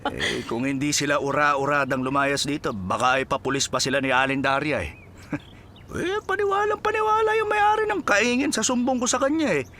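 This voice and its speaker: An actor playing a sly, scheming farmhand with a Filipino accent, wheedling and smug, in radio drama style. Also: male voice, a little high, big pitch swings, normal speed, pushed and bright, slight background noise, exaggerated voice. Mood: gloating. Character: sly scheming farmhand, deferential informer, secretly gloating.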